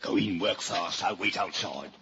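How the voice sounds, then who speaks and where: An actor playing a curt, shady villain in an old-time radio drama. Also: male voice, low-pitched, moderate pace. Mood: terse. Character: curt, shady villain doing paid dirty work. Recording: muffled, very noisy old radio recording.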